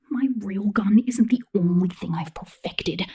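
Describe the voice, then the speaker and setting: Breathy, possessive robot girl in whispered ASMR, acted by a woman. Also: mid-range voice, warm tone. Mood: possessive, menacing. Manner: slow, teasing, controlling, intimate. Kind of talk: ASMR roleplay, close whispering and soft speech.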